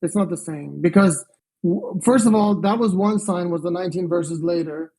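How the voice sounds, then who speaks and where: A man's voice: high voice, moderate pace, mellow, low-quality recording. A young man in an online debate, defending his point step by step and asking to be treated with respect.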